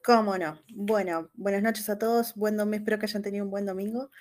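A woman's voice: medium pitch, fairly even intonation, a little fast, darkish tone. A woman from Argentina with an Argentine accent, calling in to a live chat and politely laying out her question in detail. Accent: Argentine Spanish. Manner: polite, detailed, thinking aloud with "eh". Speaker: caller. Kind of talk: asking a question on a live call.

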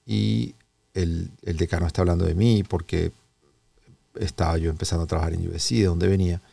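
A man with a Venezuelan accent hosts in a low, gravelly register. He talks in a relaxed, conversational storytelling style. The sound is dry, though he sits a little away from the microphone. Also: brisk, no echo, recorded from a distance. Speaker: host of a health and wellness interview show.

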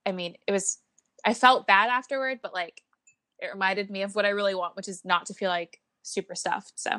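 A woman's voice: medium pitch, steady tone, fairly quick, pushed and bright, no room sound. A young woman co-hosting a podcast, chatting casually in a breezy way full of "like". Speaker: podcast co-host. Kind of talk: casual chat.

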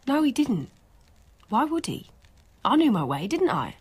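A woman's voice: sits high, sing-song, a little slow, breathy edge, full character voice. An actress playing a defiant, obsessively lovesick young working-class woman in a British radio drama, blunt, colloquial and insistent.

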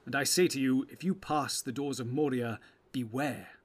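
Male reader as brooding ranger, loyal, wary, husky, heavy formal delivery, fantasy storybook. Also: medium pitch, moderate pace, natural voice. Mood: heavy, warning.

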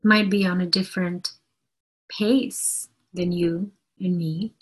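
A woman giving an inspirational spiritual talk in an earnest, deliberate way, phrase by phrase, with a husky, breathy voice. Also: lowish voice, fairly even intonation. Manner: deliberate, earnest, phrase-by-phrase delivery with emphatic repetition.

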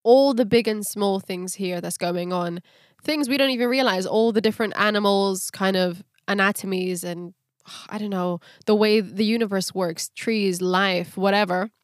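A woman's voice: medium pitch, moderate pace, no room sound, clean studio sound. A woman co-hosting a Bible study podcast, talking about faith in a casual, conversational way. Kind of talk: casual conversational religious discussion.

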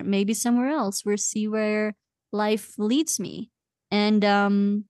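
Chatty high-voiced woman in her late twenties. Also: a little mellow, no echo, studio quality. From Belgium. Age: late 20s. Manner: chatty, laughs often. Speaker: guest. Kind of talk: casual interview chat.

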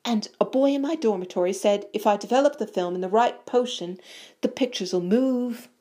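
An eager little schoolboy played by a woman reader chattering in a raised pitch. He gushes breathlessly like a starstruck fan in a storybook, tumbling along with excitement. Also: moderate pace, close to an everyday voice. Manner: excited, gushing.

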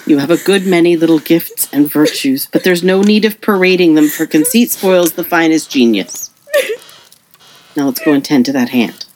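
A woman acting a patient, loving but firm middle-aged mother in an audio play, measured and gently admonishing. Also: deep voice, a little hiss or hum, natural voice, rough recording. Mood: calm, firm.

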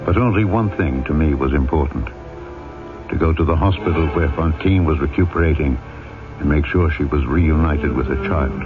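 A man's voice: very deep voice, moderate pace. A man playing a noble, resolute former convict turned mayor in an old-time radio drama, solemn and earnest as he tells and acts out his story. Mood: solemn, reflective. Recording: tinny, narrow-band old radio recording with music.

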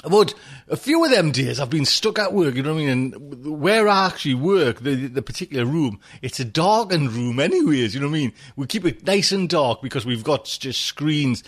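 Chatty, rambling man. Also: fairly high pitch. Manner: rambling, chatty, laughs often, swears. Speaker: podcast host. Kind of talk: casual chat.

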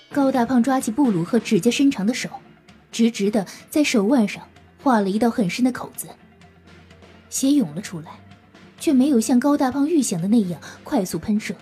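Woman reading dramatic story narration, really husky and breathy, dark mellow tone, music behind. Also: a little high.

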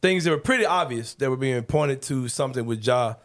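A man's voice: mid-range voice, animated, brisk. Man, forties, show host, laid-back, teasing, slangy. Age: 40s. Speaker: podcast host. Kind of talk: casual sports talk show.

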